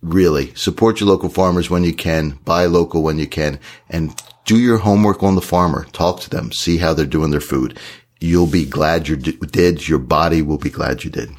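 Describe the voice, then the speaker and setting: A man, hoarse from shouting, co-hosting a podcast in a casual, encouraging way. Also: rumbling low, normal speed. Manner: earnest, encouraging advice. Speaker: podcast co-host.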